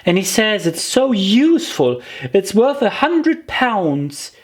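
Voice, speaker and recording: A woman's voice: mid-range voice, very animated, slow pace, pitched above the performer's own voice. A woman voicing a polite, curious young girl in a children's audiobook, earnest and chatty in manner. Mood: eager.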